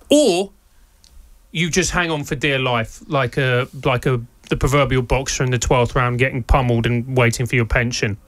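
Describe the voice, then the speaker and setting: South London man telling wry stories. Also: a little high. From England. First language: Spanish. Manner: lively anecdotal delivery with wry humour and laughs. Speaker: guest, podcast co-host and former teacher. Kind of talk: conversational interview storytelling.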